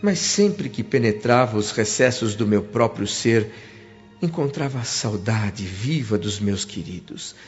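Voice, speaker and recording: A Brazilian actor playing an earnest, wondering man in the spirit world, narrating his own story in a reflective, expressive, literary way for a radio drama. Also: on the low side, a little slow. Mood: longing. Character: spirit of a deceased husband and father, earnest, wondering newcomer. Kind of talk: radio drama acting and first-person narration. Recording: dull lo-fi radio drama over music.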